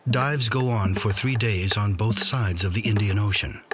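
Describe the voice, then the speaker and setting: A man narrating a nature documentary in a measured scripted delivery. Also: lowish voice. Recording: music bed behind voice-over. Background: music.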